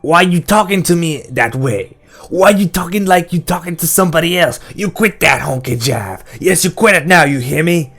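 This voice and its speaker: Man putting on a shrill, mean, taunting woman. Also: slow, close to an everyday voice. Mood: defiant, nervous. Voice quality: hoarse, rasping. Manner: shrill, heavy dialect, mocking.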